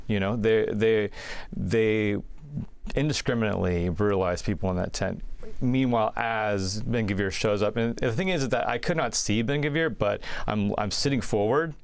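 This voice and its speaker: A man giving a first-hand account as an interview guest, halting and repeating phrases as he searches for words. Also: fairly low pitch.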